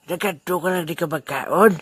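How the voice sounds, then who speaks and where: A man's voice: a little high, normal speed, fairly bright tone, slightly rough, dry recording. A man voicing a good-natured old grandfather, joking and laughing with his friend and muttering asides to himself in an amateur audio drama. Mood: jovial. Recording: noisy amateur recording.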